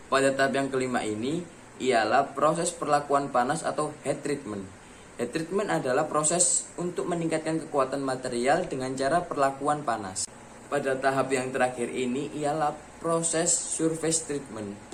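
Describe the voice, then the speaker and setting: A man's voice: mid-range voice, a little hiss or hum. A man reading a scripted educational voice-over about materials, stepping steadily through a list of points. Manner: reads steadily from a script, listing steps in order. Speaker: student presenter.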